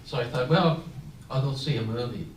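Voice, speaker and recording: A man's voice: medium pitch, normal speed. An older man who grew up in San Francisco, speaking as a veteran on a panel and telling his own story in a reflective way, with frequent fillers and restarts. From San Francisco, United States. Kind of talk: personal storytelling at a panel discussion. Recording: echoey, distant mic with room noise.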